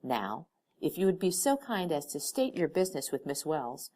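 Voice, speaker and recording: A woman's voice: low-pitched, big pitch swings, moderate pace, mellow. A woman voicing a firm, formal, protective female assistant who exasperates easily in an audiobook story. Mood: indignant, firm.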